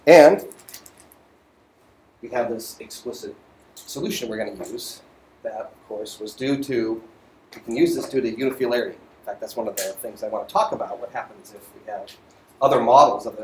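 A man giving an informal university physics lecture, halting as he thinks aloud. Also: on the low side. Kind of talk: lecture voiceover on slides, informal explaining. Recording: roomy echo, faint background noise.

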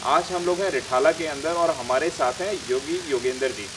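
A man, presenting and interviewing on camera with a handheld microphone. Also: fairly quick. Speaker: news channel host and interviewer. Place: outdoors in front of banners. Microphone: handheld news microphone. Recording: noisy outdoor sound, vehicle rumble, hiss.

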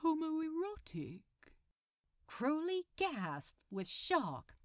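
A woman's voice: medium pitch, very animated, slow, dry recording, studio quality. A woman narrating a scripted piece of fiction as a storyteller. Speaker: podfic narrator.

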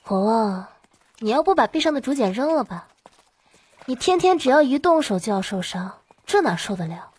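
A woman's voice: a little high, big pitch swings, normal speed, darkish tone, breathy edge. A woman voicing a worried young companion, pleading and scolding, in an audiobook. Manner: pleading, nagging.

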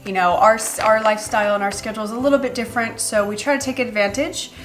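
A woman in her thirties with a stuffy, nasal voice from a cold, chatting casually and relaxed with many ums while she wraps gifts in her kitchen. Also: a little high, fast talker, faint music behind. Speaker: lifestyle vlogger. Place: echoey home kitchen and living room.

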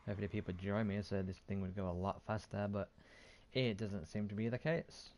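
A man's voice: lowish voice, steady tone, fairly quick, a little mellow. A man giving casual gameplay commentary as a streamer, half muttering to himself in a self-deprecating way. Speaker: gaming streamer. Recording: faint game sound behind voice.

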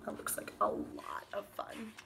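A woman in her thirties, a kindergarten teacher, reading a picture book aloud to children in an animated, expressive way. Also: in a whisper. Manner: animated, expressive, drawn-out emphasis on words. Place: small living room by a fireplace, indoors.

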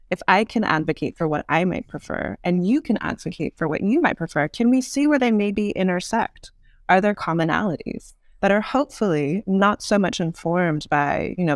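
A woman speaking as an expert interview guest, explaining in long, measured, articulate sentences. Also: lowish voice, no echo, studio quality. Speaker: podcast guest, author and urban design expert.

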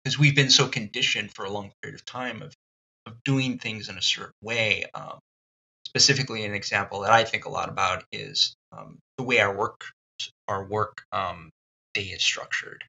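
Gravelly bright-toned man musing aloud. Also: lowish voice, fairly even intonation, rough recording. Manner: thoughtful, halting, many ums and restarts. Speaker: podcast host. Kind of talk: reflective solo podcast monologue.

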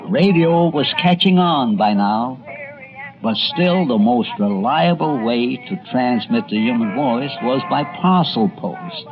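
A man's voice: mid-range voice, expressive, takes it slowly, music in the background. A man reading scripted narration for a radio documentary. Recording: dull lo-fi radio documentary audio.

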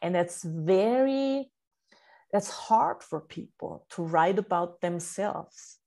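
A woman's voice: fairly low pitch, animated, slow pace, gravelly, darkish tone. German woman coaching writers. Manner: earnest, explanatory, with many fillers and restarts. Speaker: book coach, guest. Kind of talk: podcast interview.

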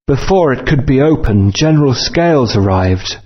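A man voicing a calm, solemn dinosaur tribesman in a video game, measured and formal. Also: mid-range voice, breathy edge. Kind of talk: video game character acting. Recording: dull lo-fi game audio.

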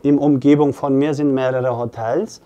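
A man in his forties, a fitness coach, explains earnestly to camera with hesitant, pause-filled phrasing. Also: medium pitch, steady tone, dark tone, muffled recording. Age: 40s. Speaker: fitness coach and gym owner. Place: small indoor office.

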